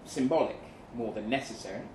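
A man's voice: medium pitch, brisk, lightly acted. A man playing a reserved, formal, world-weary middle-aged machine operator in a short story reading. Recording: roomy, distant live venue recording, faint noise.

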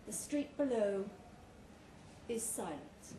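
A woman's voice: mid-range voice, big pitch swings, slow pace. A woman reading a literary short story aloud at a live event. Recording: roomy live recording with clear background noise.

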